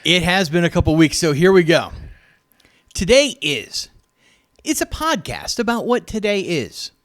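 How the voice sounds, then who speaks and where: A man co-hosting a casual podcast, talking in halting bursts with many restarts and dry jokes. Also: sits high, very animated, fairly bright tone. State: recovering from recent surgery. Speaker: podcast co-host. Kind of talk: casual chat.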